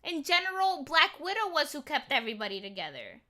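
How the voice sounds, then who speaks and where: A woman's voice: high voice, sing-song, a little fast, a loud crowd. A young woman in a casual group film discussion, stating her opinions bluntly and stubbornly as a co-host. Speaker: podcast co-host.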